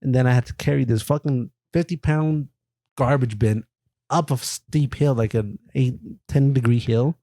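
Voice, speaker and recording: A young man guesting on a comedy podcast, telling stories in casual chat with self-mocking, deadpan jokes. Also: mid-range voice, normal speed, no echo.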